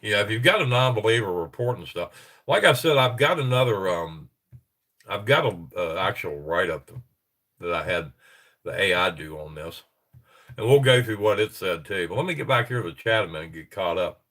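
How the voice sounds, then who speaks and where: A man's voice: on the low side, expressive, brisk, a bit bright. A middle-aged man with a stuffy, congested voice, hosting a casual livestream and reading reports aloud with rambling, chuckling asides. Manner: rambling, chuckling, self-interrupting. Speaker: livestream host.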